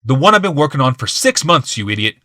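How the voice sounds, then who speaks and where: Audiobook role: sickly, embittered male inventor acted by a man, suspicious, explosive, sharp-edged delivery, dry close sound. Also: medium pitch, sing-song, fast, no room sound, pitched above the performer's own voice. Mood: accusing, furious. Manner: shouting, hissing, accusing outbursts. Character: bitter, paranoid, sickly male inventor in a wheeled chair.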